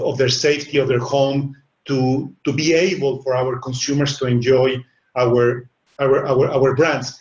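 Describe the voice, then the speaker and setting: A middle-aged man with a Mexican accent speaking as a business panelist on a video call, earnest and often repeating small words as he builds his points. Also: mid-range voice. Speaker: webinar panelist, business executive. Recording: echoey room over a dull, lo-fi line.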